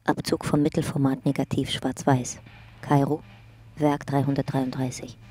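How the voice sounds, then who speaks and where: An actor playing a detached narrator in a radio drama, reading out photo captions in a factual, deadpan way. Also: mid-range voice, normal speed, very noisy, lightly acted.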